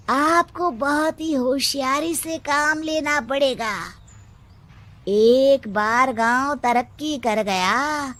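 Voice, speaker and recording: A woman's voice: high-pitched, a bit bright, heavily acted. A woman voicing a cunning male village adviser, wheedling and insinuating as he schemes in a story.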